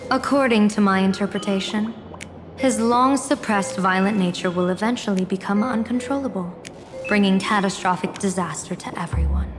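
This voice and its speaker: A woman playing a composed, solemn seer general in a video game, speaking in formal, measured, portentous phrases. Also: medium pitch, lightly acted. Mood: grave. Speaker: general and diviner. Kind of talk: scripted video game acting. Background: game music.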